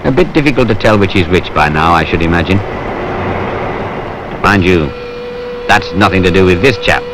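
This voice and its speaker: A man's voice: deep voice, moderate pace. A British man giving wry, colloquial, conversational narration for a film. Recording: noisy 1970s industrial film soundtrack.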